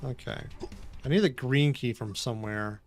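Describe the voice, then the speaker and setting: A man streaming a game, thinking aloud and chatting with viewers in a loose, unhurried way. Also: mid-range voice, soft background music. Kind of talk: casual live-stream gaming commentary.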